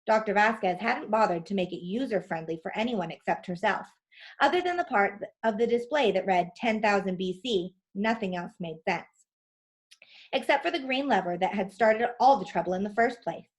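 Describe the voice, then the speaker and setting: A woman narrating a children's storybook aloud in an expressive style. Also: medium pitch, normal speed.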